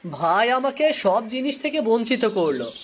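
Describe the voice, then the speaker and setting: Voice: mid-range voice, sing-song, normal speed, slight background noise, close to an everyday voice. A performer voicing a poor, simple-hearted woodcutter husband in a cartoon, earnest and plaintive. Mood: self-pitying. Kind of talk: Bengali cartoon voice acting.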